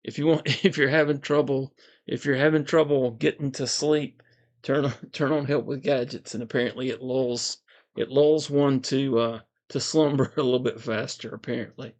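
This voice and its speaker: A man with a calm, soothing voice, hosting a casual livestream, reading viewer comments in a relaxed, meandering way. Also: medium pitch, moderate pace. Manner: relaxed, meandering, frequent fillers and soft chuckles. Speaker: livestream host.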